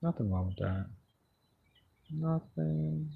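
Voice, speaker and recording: A man talking slowly and calmly in a monotone, chatting relaxed encouragement while he draws on a live stream. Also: on the high side, creaky, slightly rough, phone-quality sound. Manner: slow, calm, monotone. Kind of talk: relaxed motivational chat while drawing. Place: outdoors.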